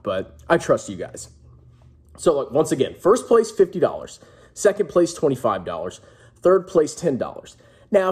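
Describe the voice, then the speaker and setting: Husky, brash man with swooping pitch. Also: a little mellow. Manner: brash, jokey, emphatic showman. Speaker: personal finance vlogger, host. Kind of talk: casual announcement to camera. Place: small indoor room at home.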